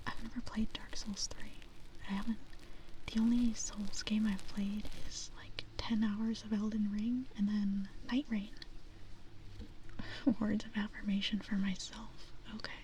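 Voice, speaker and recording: A woman streamer whispering nervously into a binaural ear microphone, chatting softly with her viewers. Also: medium pitch, level tone, unhurried, far from the mic, slight background noise, poor audio. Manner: soft, nervous, giggly chatter with chat. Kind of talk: whispered ASMR live stream. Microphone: binaural microphone with ears.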